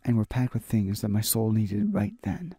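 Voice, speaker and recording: A man hosting a gaming video, reading a visual novel aloud in a halting way, stumbling and repeating phrases. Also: on the low side, very animated, dark tone, breathy edge, no echo.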